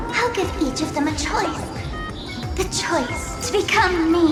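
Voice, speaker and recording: A woman's voice: high voice, reverberant. A woman voice acting a playful, smug young female internet idol and trickster in a video game, speaking in a theatrical, teasing, grandiose way.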